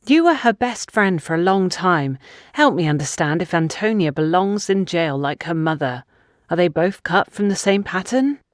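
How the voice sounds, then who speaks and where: A woman voicing a composed, probing woman with a family grievance in an audio mystery, speaking in an earnest, measured way. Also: on the low side, lively intonation, no echo, clean studio sound, lightly acted. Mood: probing, calm.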